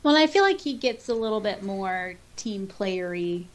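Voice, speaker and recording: A woman's voice: mid-range voice, lively intonation, moderate pace. A woman co-hosting a podcast in casual chat, reading out comments in a giggly, effusive manner. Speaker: podcast co-host.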